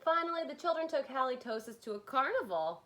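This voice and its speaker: Female kindergarten teacher type, storybook read-aloud, dramatic and very varied in intonation, fairly high register. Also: fast. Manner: expressive, dramatic delivery with hushed whispers and playful asides. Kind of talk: animated picture-book read-aloud for young children.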